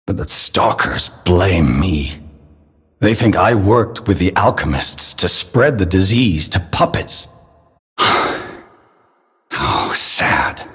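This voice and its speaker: Elderly game inventor, fatherly and solemn, slow measured delivery, breathy husky tone, long reverb. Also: deep voice, full character voice. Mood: pondering, troubled, then sad, tender. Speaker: video game voice actor. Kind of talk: dramatic game character dialogue. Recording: long reverberant hall echo.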